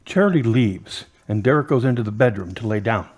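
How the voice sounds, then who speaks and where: A man's voice: medium pitch, animated, brisk, darkish tone, breathy edge. A man reading the stage directions of an amateur drama in a plain, matter-of-fact way. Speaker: narrator.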